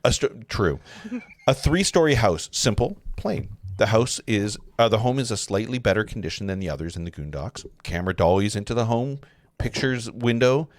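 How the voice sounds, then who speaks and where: A man narrating a film script at a live table read, halting and often correcting himself. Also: lowish voice, lively intonation, dry recording. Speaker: narrator reading stage directions.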